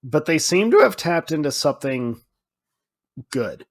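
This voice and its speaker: A man with a voice pitched a little above average talks as a podcast co-host. He speaks in a casual, conversational way, musing out loud as he works through his thoughts. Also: big pitch swings, measured pace.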